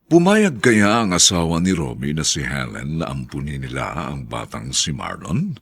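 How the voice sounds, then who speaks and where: Filipino guy radio drama narrator, husky deep voice, super swoopy theatrical delivery. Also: big pitch swings, moderate pace.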